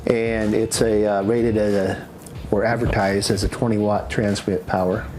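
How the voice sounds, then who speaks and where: A man giving an unscripted product demonstration in a halting, matter-of-fact way, as a presenter explaining equipment. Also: lowish voice, level tone, noisy background. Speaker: presenter demonstrating radio gear. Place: indoors in a house.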